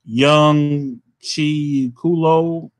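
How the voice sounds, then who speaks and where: A man hosting a casual podcast, talking loosely and ending nearly every phrase with "man" as he shouts out players. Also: fairly high pitch, steady tone, very slow. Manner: laid-back, says "man" constantly, shouting out players. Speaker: podcast host.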